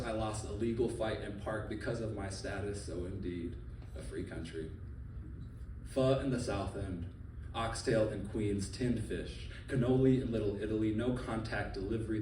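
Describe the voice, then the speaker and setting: A man with a fairly low voice reads his poems aloud at a live event, breaking into loose, joking banter between pieces. He stands back from the mic, and the sound carries through a roomy hall. Steady room noise sits underneath him. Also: far from the mic. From Philippines. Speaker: poet. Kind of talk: live poetry reading with banter. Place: live reading venue. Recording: roomy live venue, off-mic, audience ambience.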